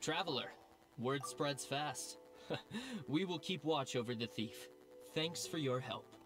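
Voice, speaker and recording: An actor playing a calm, steady male guard officer who speaks in a measured, authoritative way. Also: medium pitch. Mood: reassuring. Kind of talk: video game cutscene voice acting. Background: game soundtrack music.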